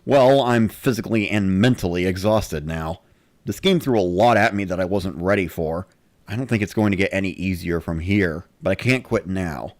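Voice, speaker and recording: A man narrating in the first person with an expressive, dramatic delivery. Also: low-pitched, normal speed, no echo. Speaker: narrator of a dramatic reading series.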